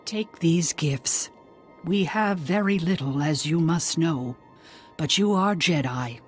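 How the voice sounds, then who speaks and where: A woman's voice: sits low, soft background music. An actress playing a serene, solemn pilgrim elder woman in a video game, speaking with ceremonial care. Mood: solemn blessing. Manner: ceremonial, measured. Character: serene, solemn pilgrim matriarch giving blessings.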